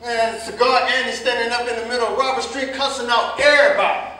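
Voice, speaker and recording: Guy doing excited american cab driver in a play, bright high voice, amused, far from mic. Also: quick pace. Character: excitable male cab driver bringing street news. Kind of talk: staged reading of a stage play. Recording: very echoey stage, distant mic.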